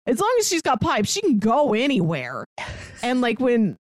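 A woman's voice: fairly high pitch, wildly swooping pitch, moderate pace, dry recording, studio quality. A woman co-hosting a podcast, chatting casually and retelling a story in an informal way with frequent asides. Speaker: podcast co-host. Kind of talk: casual book discussion chat.

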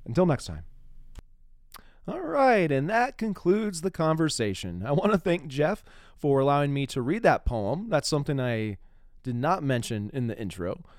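A man's voice: a little high, very animated, moderate pace, darkish tone, dry recording, clean studio sound. A man hosting a podcast interview in a casual, conversational way, with a wry, playful and self-mocking manner.

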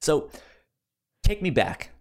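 A man hosting a podcast in casual chat about movies, often stammering and repeating words as he gets into a sentence. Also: slow. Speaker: podcast host.

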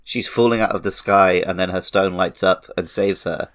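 A man's voice: deep voice, steady tone, moderate pace, a bit bright. A man co-hosting a podcast, casually and enthusiastically discussing films and games in fan detail. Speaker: podcast co-host. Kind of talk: casual film and game discussion.

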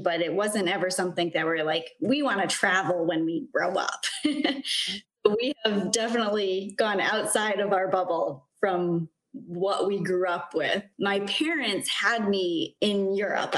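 A woman's voice: mid-range voice, poor audio. A woman in her forties, a guest in a casual interview, telling stories in a friendly way and laughing often.

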